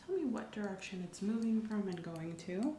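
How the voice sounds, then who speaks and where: A woman speaking softly and at times whispering in an ASMR medical roleplay, giving gentle, reassuring instructions as a doctor. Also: medium pitch, lively intonation, moderate pace, reverberant, a little hiss or hum. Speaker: ASMR performer playing a doctor doing a medical exam.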